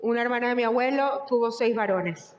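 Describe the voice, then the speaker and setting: In a reverberant hall, a woman with a Venezuelan accent talks informally as a workshop presenter. Her voice sits a little high, and the sound is slightly dull. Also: a little fast. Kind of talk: informal question-and-answer talk. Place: large echoey indoor room. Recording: echoey hall, muffled sound.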